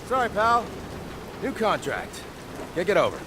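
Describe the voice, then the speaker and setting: Game hitman: sarcastic, breezy menace, high swinging pitch, rain noise around. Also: wildly swooping pitch, unhurried, full character voice. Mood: mocking. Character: flippant, mocking male hired fixer with a gun. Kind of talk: dramatic video game acting. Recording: clear rain noise behind.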